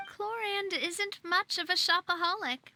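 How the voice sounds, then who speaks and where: A woman's voice: very high voice, measured pace, sharp tone, exaggerated voice. A woman playing a confident, breezy, playful young woman in a video game. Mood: playful, defensive. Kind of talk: video game character acting.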